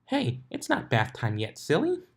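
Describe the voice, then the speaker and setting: Narrator doing a hyper cheery girl pony baker, bubbly n chatty, pitched way up. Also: wildly swooping pitch, unhurried, gravelly, slightly rough, heavily acted. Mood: excited, cheery.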